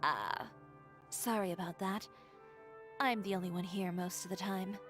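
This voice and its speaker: A woman's voice: lowish voice, steady tone, a little slow, music in the background, natural voice. A woman playing a composed, proud and guarded female dressmaker in a video game.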